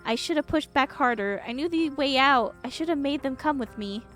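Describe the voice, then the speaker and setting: Distraught, high-voiced teenage girl, voiced by a woman. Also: animated, a little fast, fairly bright tone. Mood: distraught, guilty. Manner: pleading, self-blaming. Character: remorseful, distraught teenage girl who survived a collapse. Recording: game music behind voice.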